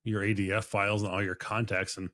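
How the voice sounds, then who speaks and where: A man with a fairly low voice hosts a podcast interview. He chats casually and effusively, rambling along and circling back over his own phrases. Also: flat delivery, fast talker, fairly bright tone. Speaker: podcast host.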